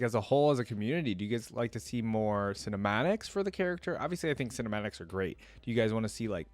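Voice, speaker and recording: Male reaction host, lowish voice, close dry sound, casual and conversational, speaks straight to the audience. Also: a little mellow, no echo. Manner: conversational, addresses viewers directly. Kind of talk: casual live reaction commentary.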